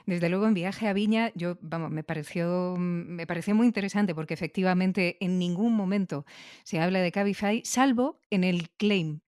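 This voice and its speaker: A woman's voice: fairly low pitch, moderate pace, a little mellow, clear, resonant tone, no room sound, studio quality. A woman with a Castilian Spanish accent hosting a conversational interview, building long, thoughtful questions and checking in with little tags. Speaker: podcast interviewer.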